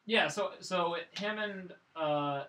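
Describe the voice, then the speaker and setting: A man co-hosting a casual film review, telling the story in an excitable, rambling way. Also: sits high, takes it slowly, crowd noise. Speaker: podcast co-host reviewing films. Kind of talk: casual chat, film recap. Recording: echoey room, distant microphone.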